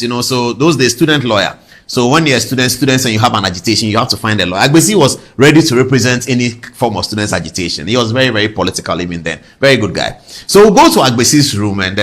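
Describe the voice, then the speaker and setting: A man's voice: mid-range voice, a bit bright. A middle-aged man with a Ghanaian accent hosting a political talk show, telling things conversationally with emphatic repetition and rhetorical questions. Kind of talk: conversational political commentary to viewers.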